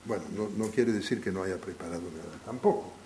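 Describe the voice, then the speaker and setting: A man who is not a native speaker of the language gives a live lecture to an audience. He talks in a halting way, restarting phrases often as he thinks aloud. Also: sits low, background noise. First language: not Spanish.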